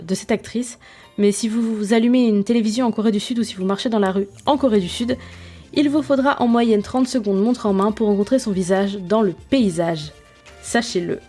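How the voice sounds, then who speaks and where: Chatty woman with a mellow voice. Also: mid-range voice. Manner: chatty, self-mocking, many fillers and restarts. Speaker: podcast host. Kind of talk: casual podcast review.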